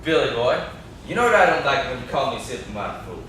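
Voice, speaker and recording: A man's voice: medium pitch, sing-song, fast, a bit bright. A young man playing a simple, chatty country neighbour in an amateur stage play, with a naive, inquisitive, folksy manner. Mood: earnest. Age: young adult. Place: classroom-like room, indoors. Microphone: far camera. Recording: echoey room, distant camera mic, faint noise.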